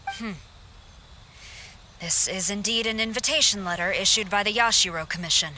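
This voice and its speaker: Sharp-voiced stern officer. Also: female voice, medium pitch, moderate pace, slight background noise. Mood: stern, businesslike. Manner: formal, curt. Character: stern, authoritative female military officer. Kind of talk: video game voice acting.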